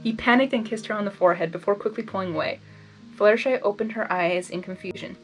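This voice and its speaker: A woman's voice: medium pitch, quick pace, low-quality recording. A woman reading fan fiction aloud and breaking off to give her own reactions, often stumbling over words and interrupting herself. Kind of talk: blind reading with casual commentary. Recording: background music under the voice.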